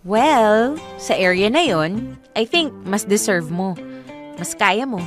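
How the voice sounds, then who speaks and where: A woman's voice: medium pitch, lively intonation, slow, soft background music. A Filipino actress playing an apologetic, admiring young woman in conversational radio drama.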